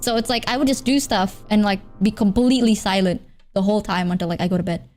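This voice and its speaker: A woman's voice: mid-range voice, fast, a little mellow, soft background music. A woman, chatting on stream in a rambling, giggly way, leaning on filler words. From Indonesia. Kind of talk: casual chat.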